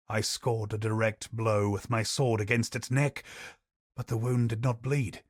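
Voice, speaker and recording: A man voicing a grave, weary knight commander in a game, reading journal entries in a solemn, measured, brooding way. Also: mid-range voice, no echo, clean studio sound. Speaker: voice actor reading in-game lore. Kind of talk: scripted game narration. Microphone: studio voice-over.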